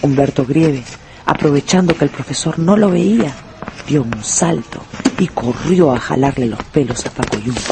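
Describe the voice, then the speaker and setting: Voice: mid-range voice, moderate pace, vocal fry, background noise, close to an everyday voice. A narrator reading a scripted, dramatized story for a radio drama.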